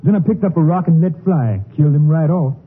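Husky, humble Southern farmhand prisoner. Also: male voice, fairly high pitch, a little fast, narrow, tinny audio. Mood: earnest, folksy. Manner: humble, folksy, respectful. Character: frightened, deferential farmhand held prisoner, simple and earnest. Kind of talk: 1950s western radio drama acting. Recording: thin, band-limited 1950s radio recording.

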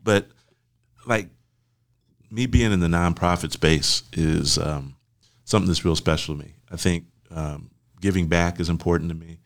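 An older man, a grandfather and guest on a podcast, chatting in a laid-back, affirming way, often trailing off softly. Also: low voice, moderate pace. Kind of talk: casual chat.

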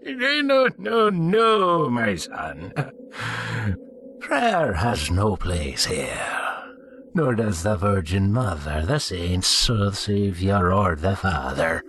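A menacing, demonic priest from a horror tale, acted out by a male narrator. The delivery is slow, deliberate and coldly sarcastic, in a low voice over clear noise. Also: sing-song, a bit bright, heavily acted. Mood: mocking. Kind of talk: horror story character voice. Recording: clearly noisy recording.

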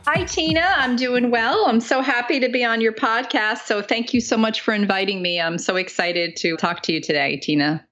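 A woman's voice: a little high, steady tone, moderate pace, few pauses, fairly bright tone, soft background music. A middle-aged woman from New Jersey chatting as an interview guest in a friendly, talkative way, with slightly muffled sound. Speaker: podcast guest, author and retired military lawyer. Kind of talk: casual interview conversation.

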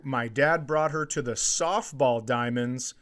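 A man's voice: mid-range voice, takes it slowly, fairly bright tone. A man hosting a comedy podcast in casual chat, ranting with exasperated sarcasm and swearing.

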